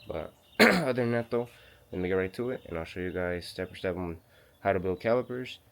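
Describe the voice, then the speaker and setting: A man's voice: sits low, expressive, brisk, heavy background noise. A man hosting his own car-repair channel in a home garage. He is sick and losing his voice, and he talks in a casual, rambling way, correcting himself as he walks through a how-to. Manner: rambling, self-correcting, slangy. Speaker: DIY car channel host.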